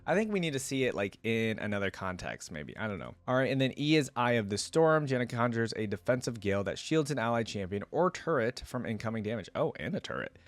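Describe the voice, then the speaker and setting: A man hosting a reaction video, giving casual, informal commentary and thinking out loud with enthusiasm. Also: fairly low pitch, no room sound. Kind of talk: casual reaction commentary with some reading aloud.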